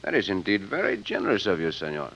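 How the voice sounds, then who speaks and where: A courteous Mexican adventurer in a vintage radio serial. His accented delivery is suave and wry, with animated pitch movement, on a slightly muffled old recording. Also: quick pace, creaky, a little hiss or hum, exaggerated voice. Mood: defiant, controlled. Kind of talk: 1940s-50s radio drama acting.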